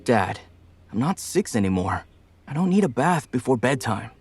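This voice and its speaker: An actor playing a devoted young man, a grown son who banters wryly, in an animated comic drama. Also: mid-range voice, moderate pace, breathy edge, faint music behind. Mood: concerned, exasperated. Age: young adult. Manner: lively, bantering. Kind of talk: animated motion-comic voice acting.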